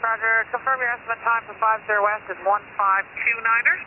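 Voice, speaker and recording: A man's voice: extremely high pitch, steady tone, a little fast. A man working as an air traffic radio operator, speaking in aviation phraseology over an HF radio link. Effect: HF radio transmission. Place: received over long-distance HF radio. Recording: narrow-band, hissy shortwave radio reception. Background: loud static hiss.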